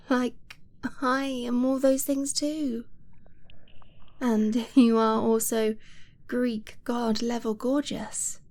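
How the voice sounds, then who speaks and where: A woman acts out a tender dragon-blooded girlfriend in a romantic ASMR roleplay. Her voice is high, soft and breathy, often near a whisper. She speaks extremely slowly, with a gentle, nervous hesitancy and close affection. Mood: adoring, shy. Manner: slow, gentle, hesitant, intimate.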